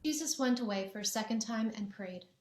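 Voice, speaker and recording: A woman's voice: fairly high pitch, brisk, darkish tone. Woman narrating scripture, echoey church. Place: church sanctuary.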